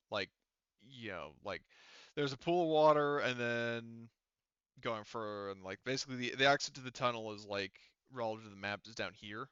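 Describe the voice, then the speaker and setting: A man speaking casually as a game master, explaining the scene in a halting way with many asides. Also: mid-range voice, a bit bright, smooth, dry recording, highs cut off.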